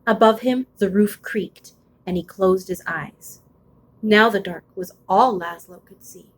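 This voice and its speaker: A woman reading a children's picture book aloud expressively, with deliberate, suspenseful phrasing. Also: medium pitch. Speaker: storybook reader.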